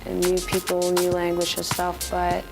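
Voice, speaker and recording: A woman's voice: fairly low pitch, completely flat delivery, moderate pace. A woman, talking casually as a student athlete in a profile interview. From Sweden. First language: Swedish. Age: 20s. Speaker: college soccer goalkeeper. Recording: clear music under the voice. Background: background music.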